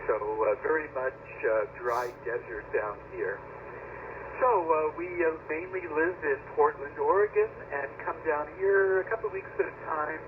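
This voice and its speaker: A man's voice: on the high side, measured pace. A man with an American accent speaking casually and matter-of-factly as a ham operator over a radio transmission. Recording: noisy, narrow-band shortwave radio signal.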